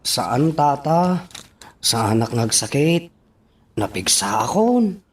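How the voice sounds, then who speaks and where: A man's voice: medium pitch, sing-song, slow pace, breathy edge, heavily acted. A man playing an eager young man in a radio drama. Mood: eager, insistent. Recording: loud music under the voice.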